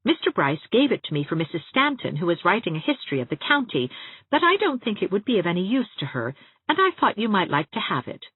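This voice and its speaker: A woman's voice: medium pitch, very animated, quick pace, slightly rough, dry recording, phone-quality sound, pitched above the performer's own voice. A woman reading a storybook as a young female schoolteacher, courteous and rather formal. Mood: stiffly polite.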